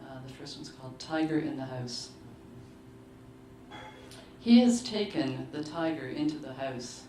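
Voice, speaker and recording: A woman giving a measured, deliberate literary reading of short fiction at a live book launch. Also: extremely low pitch, animated, mellow. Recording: roomy, distant mic, clearly noisy live venue.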